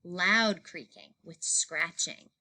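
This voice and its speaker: A woman reading a children's picture book aloud in an expressive narrating style. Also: fairly low pitch, takes it slowly, low-quality recording. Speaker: story reader.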